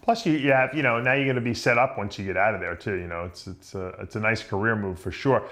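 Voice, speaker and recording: A man's voice: on the low side, quick pace, a bit bright. A middle-aged man from New York hosting an interview, chatty and easygoing, with frequent restarts. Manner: chatty, many restarts, laughs easily. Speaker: interview host. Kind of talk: casual interview chat.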